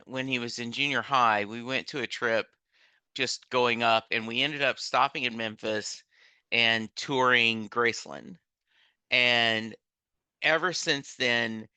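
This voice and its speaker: A man hosting a podcast, upbeat and enthusiastic, reacting warmly to his guest. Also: mid-range voice, slow, clear, resonant tone, no room sound. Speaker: podcast host. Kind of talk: casual interview.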